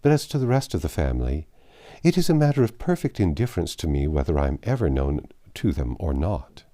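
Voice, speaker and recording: A man reading aloud the part of a prudent young woman who speaks in a measured, composed way. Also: a bass voice, sing-song, dark tone. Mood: calm. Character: calm, prudent young woman, elder sister.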